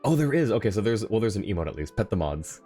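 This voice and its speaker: Male game streamer, low-pitched, casual commentary and chat, light music underneath. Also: very animated, fast, mellow, breathy edge. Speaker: game livestreamer. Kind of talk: casual livestream commentary, chatting with viewers.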